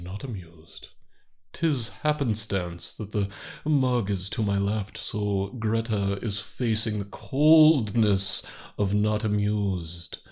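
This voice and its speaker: Low deadpan guy, super slow, goofy formal comedy talk. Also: slightly rough. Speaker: comedy podcast co-host. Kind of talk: improvised comedy banter.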